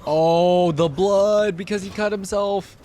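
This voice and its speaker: A man casually commenting as a reaction host and excitable fan, sometimes reading game lore aloud. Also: high-pitched, slow, a little hiss or hum.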